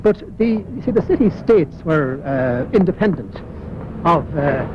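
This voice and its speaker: A man's voice: on the high side, big pitch swings, unhurried. An Irish man, a local historian, talking as he walks through old city streets, with many hesitant fillers. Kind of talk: walking interview. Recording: muffled 1980s broadcast with street noise.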